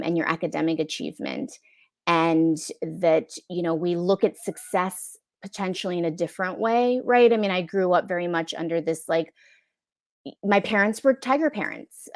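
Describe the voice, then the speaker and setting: A woman's voice: fairly low pitch, creaky, dry recording, studio quality. A middle-aged American woman giving an earnest, thoughtful answer on a video-call panel, often checking for agreement. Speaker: film impact producer, guest. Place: indoor room, on a video call.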